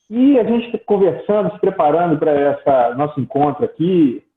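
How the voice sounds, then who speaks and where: Host man on a tinny line. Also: fairly high pitch. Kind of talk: interview hosting. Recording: tinny phone-like line in an echoey room.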